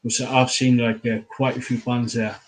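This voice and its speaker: A man, interviewing casually as host in a halting, repetitive way. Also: medium pitch, flat delivery. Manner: halting, repeats phrases, filler-heavy.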